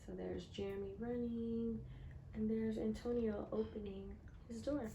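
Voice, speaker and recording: A woman reading a children's picture book aloud expressively, acting out the dialogue and pausing to put questions to listeners. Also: fairly high pitch, fairly even intonation, gravelly, warm tone, echoey room, a little hiss or hum, poor audio. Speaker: read-aloud storyteller.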